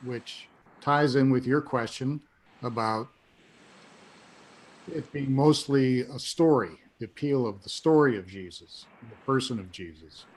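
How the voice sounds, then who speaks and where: Hoarse male participant, quiet, trails off, faint noise behind. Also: mid-range voice, measured pace. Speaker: webinar participant. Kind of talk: casual discussion on a video call. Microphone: video call.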